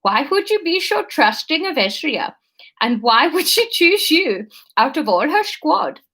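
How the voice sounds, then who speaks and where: Lady doing a suspicious bossy female interrogator from a storybook, put-on dutch accent, slow and really up and down. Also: high voice, very animated, sharp tone, voice pushed up, exaggerated voice. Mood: commanding, probing. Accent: attempted Dutch. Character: stern suspicious female interrogator, commanding and probing.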